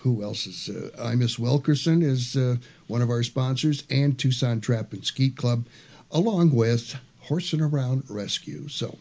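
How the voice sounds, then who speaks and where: A man hosting a radio show in a studio, casual and halting, with many fillers. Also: mid-range voice, warm tone.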